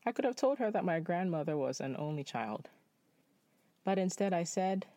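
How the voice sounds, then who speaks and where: A young man from Nepal telling a personal story in spoken-word style, measured and reflective. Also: high-pitched, expressive, warm tone, dry recording.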